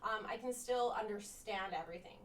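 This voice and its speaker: A woman's voice: medium pitch, a little fast, a bit bright. A woman who grew up speaking Croatian at home, chatting casually as a guest in an upbeat way with lots of fillers. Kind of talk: casual chat. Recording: roomy, distant mic with faint noise.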